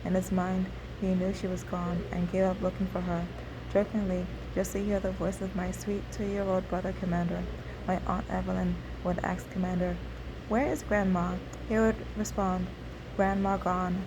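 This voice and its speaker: A woman reading her own memoir aloud, steady and unhurried, now and then correcting herself. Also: lowish voice, noisy background. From Nigeria. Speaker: memoir narrator.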